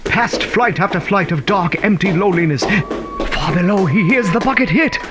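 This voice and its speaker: An actor as a whimsical storyteller narrating an audio drama in a dramatic, theatrical manner. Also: male voice, high-pitched, lively intonation, moderate pace, music in the background. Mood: urgent, alarmed. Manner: dramatic, swooping from hushed to urgent.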